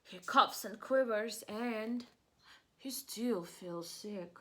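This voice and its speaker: A woman preschool teacher reads a picture book aloud at a slow pace, with a medium-pitched voice. Her delivery is expressive and pitched at small children, using simple words and guiding questions. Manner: expressive, simple child-directed speech, asks questions and answers them. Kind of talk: children's storybook read-aloud.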